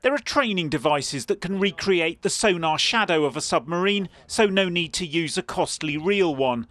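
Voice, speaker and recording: Higher-pitched male news reporter. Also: animated, normal speed, a bit bright. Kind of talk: scripted news report narration.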